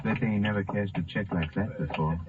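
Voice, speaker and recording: A man's voice: low-pitched, a little fast, close to an everyday voice. An actor playing an edgy, impatient ex-convict stick-up man in a 1950s American western radio drama, grumbling in slang. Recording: noisy, muffled vintage radio recording.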